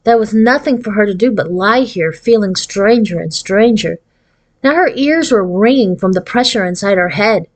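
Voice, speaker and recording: A woman reading a children's storybook aloud in an expressive, narrating manner. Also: medium pitch, moderate pace. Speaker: audiobook reader.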